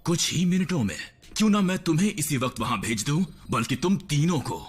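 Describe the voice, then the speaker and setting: A grumpy robot medic. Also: male voice, fairly high pitch, sing-song, brisk, breathy edge. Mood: grumbling. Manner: dry and grumbling, explains technology. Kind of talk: Hindi cartoon dub.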